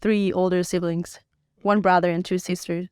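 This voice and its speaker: A soft-spoken young woman who grew up on the Thailand-Burma border and whose first language is S'gaw Karen, telling her life story slowly and hesitantly as a podcast guest, with many pauses and fillers. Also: fairly low pitch, little pitch movement, clear, resonant tone, no room sound, studio quality. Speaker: interview guest. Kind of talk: personal life story interview. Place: podcast studio.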